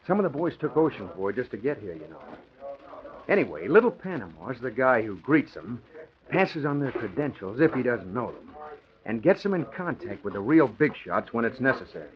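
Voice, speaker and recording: A man's voice: medium pitch, very animated, normal speed, natural voice. A man acting a breezy, slangy, wisecracking crime reporter in a 1940s radio drama. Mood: informative. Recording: muffled old radio recording, crowd murmur.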